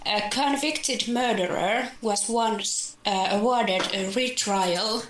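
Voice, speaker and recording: Bright-voiced, slower woman in an echoey room. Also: medium pitch. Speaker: podcast co-host. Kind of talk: casual chat, reading out trivia. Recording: echoey room with faint noise and clicks.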